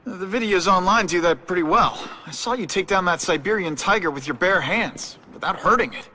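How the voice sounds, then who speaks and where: An actor playing an earnest, nervous young newspaper photographer in a video game, speaking in a hesitant, boyish, polite way. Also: male voice, sits high, a little fast, bright, forceful tone, slight background noise. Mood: skeptical, probing. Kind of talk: video game cutscene acting.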